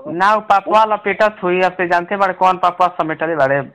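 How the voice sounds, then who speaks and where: High voiced bhojpuri guy in a heated phone row, threatening and swearing, recorded call. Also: normal speed, fairly bright tone, low-quality recording. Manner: abusive, threatening, confrontational.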